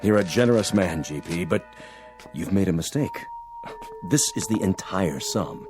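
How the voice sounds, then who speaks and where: A college president in a radio drama, smooth and gently persuasive as he courts a donor. His voice sits fairly low and moves a lot in pitch, with light music behind it. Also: animated, moderate pace.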